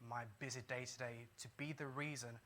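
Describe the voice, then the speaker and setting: A man's voice: mid-range voice, steady tone, a little fast, fairly bright tone, a little hiss or hum. A middle-aged man from Ghana, a doctor, telling his success story to a live audience in an earnest way, repeating key lines for emphasis. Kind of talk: motivational talk to a live audience.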